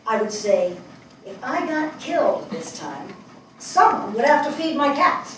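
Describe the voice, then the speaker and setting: A woman's voice: sits high, big pitch swings, a little slow, a bit bright, full character voice. An older woman on stage acting a reflective, wry middle-aged journalist from New York in a dramatic monologue. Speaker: stage actress in a dramatic reading. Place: indoor small theatre stage. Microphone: far camera. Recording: echoey theatre, distant mic, faint noise.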